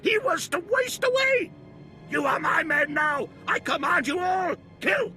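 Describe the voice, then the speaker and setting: A deranged male commander from a sci-fi video game. His voice is extremely high and harshly bright, swinging widely as he rants like a bombastic tyrant, with music underneath. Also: animated, unhurried, full character voice. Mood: frantic, defiant. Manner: bombastic, hysterical ranting.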